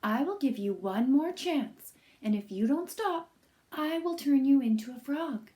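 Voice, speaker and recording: A woman doing a stern slow good fairy. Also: high-pitched, animated, warm tone. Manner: slow, deliberate warnings.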